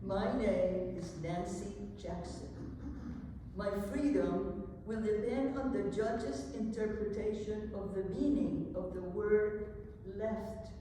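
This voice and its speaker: Mellow, slow young enslaved woman read by a woman. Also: mid-range voice, slight background noise, natural voice. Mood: anxious, pondering. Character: anxious young enslaved woman awaiting her freedom. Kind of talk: dramatic poetry reading. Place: large indoor hall at a live event. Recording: very echoey hall from far off.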